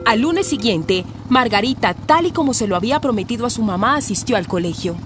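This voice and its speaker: A woman's voice: on the high side, animated, a little fast. A woman with a Colombian accent giving scripted narration for a radio soap. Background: music.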